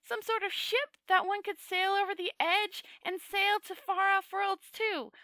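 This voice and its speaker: An eager little tourist man, voiced by a woman reading a story aloud, pitched well above her own voice. He sounds breathless and full of wonder, with a bright, dry tone close to the mic. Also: a little slow, no room sound, full character voice. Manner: breathless, wondering, high and excited. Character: eager, wide-eyed little male tourist.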